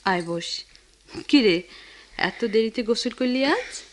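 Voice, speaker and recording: An earnest, insistent Bangladeshi woman friend in a radio play. Her fairly high voice swings animatedly as she presses each point with emphasis. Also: animated, slow, background noise. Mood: casual, friendly. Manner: emphatic, persuasive. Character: Jui, earnest, insistent close female friend urging sense. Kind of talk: Bengali radio drama acting.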